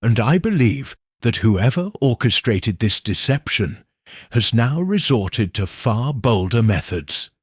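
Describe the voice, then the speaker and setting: A man voicing a calm, incisive middle-aged detective who speaks in a measured, assured way. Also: mid-range voice, slightly rough, no room sound, clean studio sound. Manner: measured, deliberate, quietly assured.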